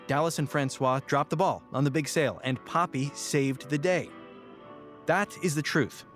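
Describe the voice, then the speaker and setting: An actor playing a nervous, earnest office worker giving a speech in an audio drama, theatrical and self-coaching. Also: male voice, on the high side, lightly acted.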